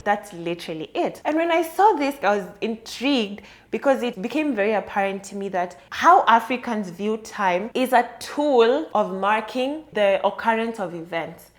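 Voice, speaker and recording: A woman's voice: fairly high pitch, lively intonation, moderate pace, hardly any pauses, soft background music. A woman hosting a solo podcast, talking through an idea in a thoughtful, explanatory and conversational way. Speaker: podcast host. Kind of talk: solo reflective podcast monologue.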